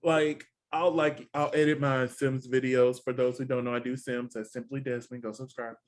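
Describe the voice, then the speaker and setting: A man's voice: medium pitch, level tone, moderate pace. A man with a Texan accent co-hosting a casual TV recap chat, with sassy asides. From Texas. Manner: chatty, sassy asides like "honey". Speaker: podcast co-host.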